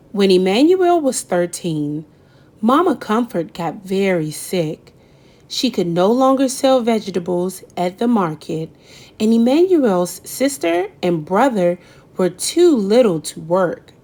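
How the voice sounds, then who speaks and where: A young woman reads a children's picture book aloud, taking her time and pausing for effect. Her voice rises and falls widely as she tells the story. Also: medium pitch. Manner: slow, lively storytelling with dramatic pauses. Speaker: read-aloud narrator.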